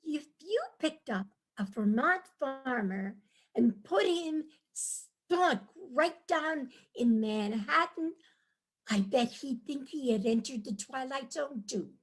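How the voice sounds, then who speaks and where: A woman acting a high-voiced, wide-eyed city wife. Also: takes it slowly, slightly rough, heavily acted. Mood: dreamy, reasoning. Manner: chatty, dreamy, easily excited. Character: cheerful, wide-eyed New York wife charmed by the countryside. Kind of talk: staged reading of a comic play.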